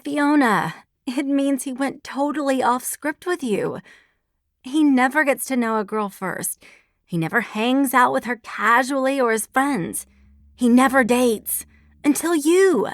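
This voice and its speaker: A woman's voice: mid-range voice, moderate pace, dry recording, clean studio sound, lightly acted. Woman voicing a bossy teasing girlfriend. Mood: gleeful. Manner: teasing, pushy.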